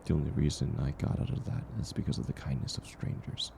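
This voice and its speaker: A man acting a kind, gentle stranger in an intimate audio roleplay, speaking reassuringly and unhurriedly to the listener. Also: rumbling low, vocal fry, background noise, heavily acted. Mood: gentle, sincere. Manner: soft-spoken, reassuring, unhurried. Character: kind, gentle man, once homeless, sharing dinner with a stranger.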